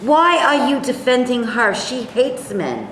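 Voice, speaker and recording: Staged reading: argumentative, needling man played by a woman, higher-pitched, heavy room echo, faint noise. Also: sing-song, measured pace, fairly bright tone, full character voice. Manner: combative, needling, blunt. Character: abrasive, sneering, argumentative chauvinist man, a Trump voter.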